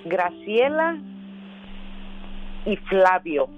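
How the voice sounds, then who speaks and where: Halting woman on a tinny phone line. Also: medium pitch, very animated, faint music behind. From El Paso, Texas. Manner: hesitant, halting. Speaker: caller. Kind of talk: phone call to a radio show. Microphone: telephone.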